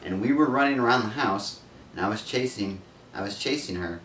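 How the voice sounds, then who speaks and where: A man's voice: lowish voice, brisk, fairly bright tone, slight background noise. A man in his thirties, a guest on a casual vlog, joking wryly and telling a rambling family story. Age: 30s. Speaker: guest, older brother. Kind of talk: casual chat. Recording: roomy echo, mic at a distance, faint music. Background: faint music.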